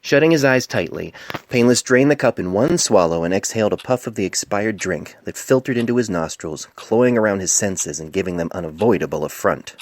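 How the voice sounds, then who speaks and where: A man's voice: fairly low pitch, lively intonation, brisk, dry recording. A man reading scripted fan fiction narration as an amateur storyteller, recorded on a cell phone microphone. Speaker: author narrating own story.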